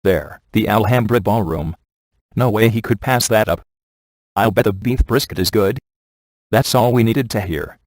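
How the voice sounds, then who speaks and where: A man's voice: on the low side, moderate pace, no room sound, natural voice. A man playing a wisecracking, laid-back ghost hunter in a video game, with a dry, sardonic and glib delivery. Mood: wry, glib. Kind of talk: scripted video game character dialogue.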